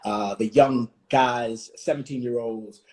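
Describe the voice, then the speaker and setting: A man in his forties from Washington, D.C., hosting a reflective conversation, thoughtful and full of pauses and restarts. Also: medium pitch, steady tone, darkish tone. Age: 40s. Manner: thoughtful, many fillers and restarts. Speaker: host.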